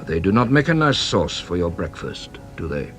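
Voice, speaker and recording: An actor playing a shrewd, playful French police inspector in a British radio drama, with a French accent and a teasing, theatrical delivery. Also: male voice, sits low, big pitch swings, moderate pace, a bit bright, soft background music. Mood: wry, curious.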